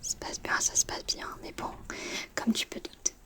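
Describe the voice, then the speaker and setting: A woman's voice: normal speed, close to an everyday voice. A woman playing a friendly, chatty, slightly distracted teenage schoolgirl in a whispered ASMR roleplay, speaking in casual, hesitant student slang. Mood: confiding. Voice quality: soft, breathy whisper.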